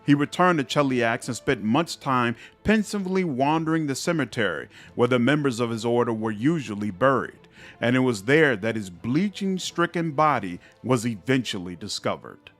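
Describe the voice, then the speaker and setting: A man narrating fantasy game epilogues in a measured, expressive storybook style. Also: medium pitch, close to an everyday voice. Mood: solemn storytelling. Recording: music under the voice.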